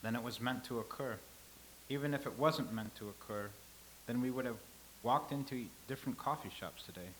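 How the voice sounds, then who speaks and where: A man reading the part of a calm, philosophical old-time scribe, speaking in a measured, lecturing way. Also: medium pitch, slight background noise, close to an everyday voice, rough recording. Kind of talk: staged reading.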